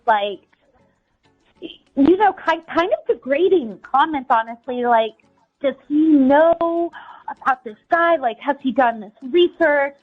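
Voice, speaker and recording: Young woman, American accent, high pitch, hesitant casual caller, filler words, dull lo-fi sound. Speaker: caller to a radio show. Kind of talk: casual conversation.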